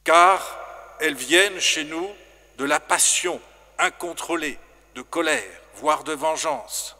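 A middle-aged man preaching a formal sermon as a priest, slow and measured, into a stand microphone in a large stone church. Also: a little high, bright, forceful tone. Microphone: stand microphone in front. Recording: long church reverb.

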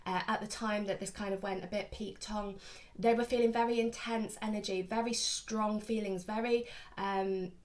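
A woman in her thirties gives a casual, chatty tarot reading to camera at home, slightly sniffly with hay fever. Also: mid-range voice. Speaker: tarot reader, host. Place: small furnished room at home, indoors.

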